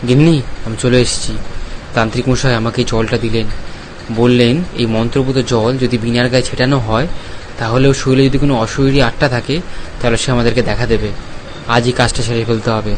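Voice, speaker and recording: A man's voice: mid-range voice, steady tone, fast talker, a little hiss or hum, natural voice, low-quality recording. A man voicing a worried village father in a cartoon story, agitated and pleading, repeating himself. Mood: urgent. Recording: clear music under the voice.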